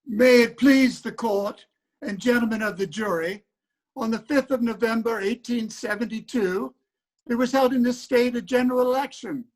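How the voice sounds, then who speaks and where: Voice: mid-range voice, moderate pace, natural voice, rough recording. Casting: an actor as an impatient old-time trial judge, formal, commanding, reader's theater. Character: stern, impatient 19th-century judge presiding at a trial. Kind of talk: reader's theater courtroom drama.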